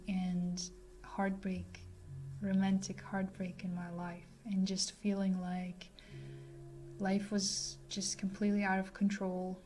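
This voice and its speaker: Slow-spoken, halting woman in her twenties. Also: medium pitch, warm tone. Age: 20s. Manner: halting, reflective, pausing mid-sentence. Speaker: vlogger sharing a personal testimony. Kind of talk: personal talk to camera.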